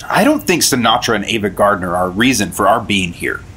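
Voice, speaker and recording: An actor playing an earnest, hard-boiled rookie federal agent in a 1950s radio drama. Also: male voice, lowish voice, very animated, fairly quick, pushed and bright, breathy edge, heavily acted. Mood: earnest, fed up.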